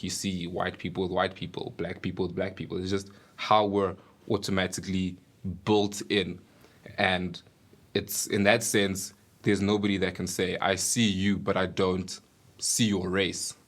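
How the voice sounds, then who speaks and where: A man gives an interview in a plain, matter-of-fact way. His voice is very deep. It stays flat, with almost no rise or fall. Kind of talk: documentary interview.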